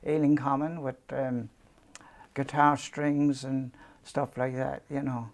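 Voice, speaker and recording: A man's voice: mid-range voice, little pitch movement, slow pace. A man in his seventies with a Cork Irish accent, telling rambling, self-deprecating stories from his youth as an interview guest, with many ums and restarts. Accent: Cork Irish, softened by years in London. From Cork, Ireland. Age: 70s. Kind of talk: storytelling reminiscence.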